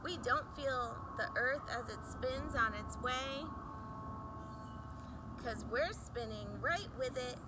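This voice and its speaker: Female children's storybook reader, high-pitched, unhurried, emphatic rhyming delivery with deliberate pauses. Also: heavy background noise. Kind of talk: expressive read-aloud of a rhyming picture book for children.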